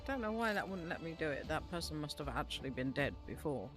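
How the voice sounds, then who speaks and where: A woman's voice: low voice, sing-song, fast, over music. A woman giving casual gameplay commentary, muttering to herself and repeating words as she plays. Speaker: gaming streamer, host.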